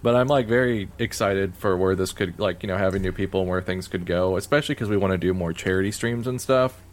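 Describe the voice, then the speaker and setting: A man from Missouri co-hosting a podcast in casual chat, talking in a fairly flat, monotone way with lots of "like". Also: deep voice, fast. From Missouri, USA. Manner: monotone, heavy use of "like". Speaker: podcast co-host.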